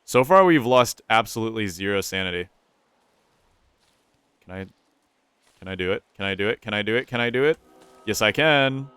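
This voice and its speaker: A man's voice: lowish voice, animated, normal speed, pauses often, a bit bright, clear, resonant tone, dry recording. A young man with a stuffy, nasal voice, casually commentating his gameplay, thinking aloud and repeating himself. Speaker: gaming YouTuber.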